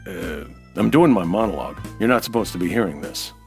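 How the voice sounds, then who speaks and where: An actor playing a suspicious, hard-boiled American nightclub owner who narrates in a wry, terse radio noir style. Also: male voice, deep voice, expressive, fairly quick, gravelly, soft background music. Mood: exasperated. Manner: wry, terse, tough-talking. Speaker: actor playing lead detective-style hero. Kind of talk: old-time radio noir monologue and dialogue.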